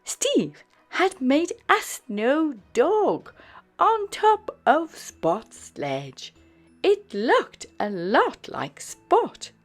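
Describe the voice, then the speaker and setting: A woman reading a children's picture book aloud in a gentle, soft narration. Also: high-pitched, huge pitch swings, very slow, faint music behind. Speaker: storyteller.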